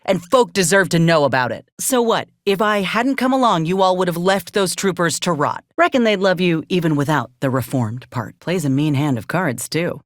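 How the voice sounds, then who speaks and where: An actress playing a plucky, principled ex-soldier turned freelancer in a space-frontier video game, with plainspoken, folksy phrasing. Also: female voice, lowish voice, no room sound, studio quality. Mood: righteous, earnest, then wry. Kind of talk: video game character acting.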